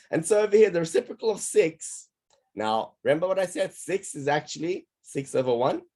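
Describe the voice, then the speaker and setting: Hoarse male maths teacher explaining. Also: mid-range voice, a little mellow. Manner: patient step-by-step explaining. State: losing his voice, hoarse. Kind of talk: online class lesson.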